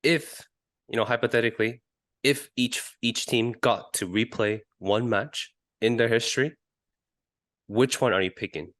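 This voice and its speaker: A man's voice: medium pitch, unhurried, a bit bright, dry recording. A man hosting a podcast in casual football chat, hesitant and often searching for words. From Asia. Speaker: podcast host.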